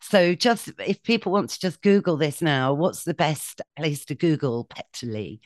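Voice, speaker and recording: A woman's voice: low-pitched, animated, measured pace, no echo, clean studio sound. A woman hosting a podcast in a chatty, casual way, often saying "you know" and laughing lightly. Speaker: podcast host.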